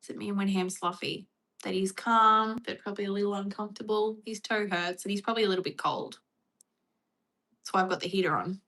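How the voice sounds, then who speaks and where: Australian woman, casual streamer, bright forward voice, chatty with viewers. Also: medium pitch, steady tone, fairly quick. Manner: chatty, reads and answers viewer comments. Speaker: livestream host. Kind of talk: casual livestream chat.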